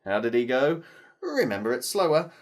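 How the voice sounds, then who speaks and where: Kids storyteller, really theatrical, pitch goes all over, dramatic pauses, asks the listeners stuff. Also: huge pitch swings. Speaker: children's storyteller. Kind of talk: performed storytelling for children.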